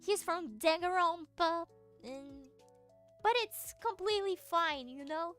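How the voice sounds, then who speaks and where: A young Brazilian woman with a Brazilian Portuguese accent chats with her stream in a high, light voice. She talks slowly, trails off into fillers and restarts, and breaks into giggles. Soft music plays faintly behind her. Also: animated. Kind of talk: casual chat with viewers.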